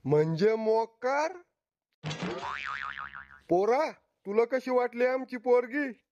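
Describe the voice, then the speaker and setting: Man doing a slow-talking, grumpy old cartoon grandfather. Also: extremely high pitch, expressive, noisy background, heavily acted. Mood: mocking. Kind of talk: cartoon comedy dialogue. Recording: dull, lo-fi cartoon audio.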